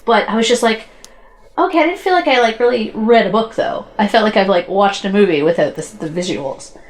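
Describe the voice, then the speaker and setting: A woman's voice: mid-range voice, lively intonation, a little fast, slight background noise. A woman co-hosting a podcast, chatting informally about her impressions, often rephrasing herself and peppering in "like". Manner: informal, frequent "like" and self-corrections. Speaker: podcast co-host reviewing post-apocalyptic media. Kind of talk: casual review chat.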